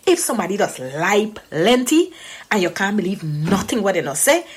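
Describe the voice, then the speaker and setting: A woman's voice: medium pitch, sing-song, normal speed, slightly rough. A woman from Guyana with a Guyanese Creole accent, giving a casual spoken lesson, repeating phrases and quizzing the listener. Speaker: language teacher.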